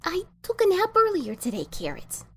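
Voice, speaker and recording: Woman as cartoon pony mother, high voice, swooping intonation, anxious, stubborn, audio drama. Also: wildly swooping pitch, moderate pace, exaggerated voice. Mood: anxious, insistent. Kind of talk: fan audio drama dialogue.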